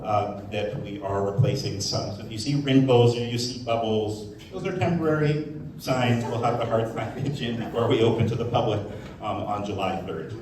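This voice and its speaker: A man giving opening remarks to the public as a museum staff speaker, speaking in an informal to measured way. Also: mid-range voice, darkish tone. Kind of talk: public speech, part off-the-cuff, part scripted. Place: indoors in a museum. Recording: very echoey room, distant mic, faint noise.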